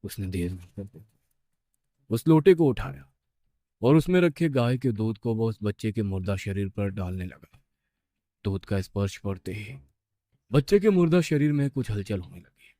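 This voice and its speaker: A man's voice: lowish voice, animated, measured pace, long pauses, dark tone, no room sound, studio quality. A man narrating a scripted horror story with suspenseful, dramatic phrasing.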